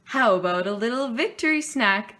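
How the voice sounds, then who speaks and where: A woman voicing a calm, homely mother bear from a children's storybook in a gentle, unfussy way. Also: on the high side, moderate pace.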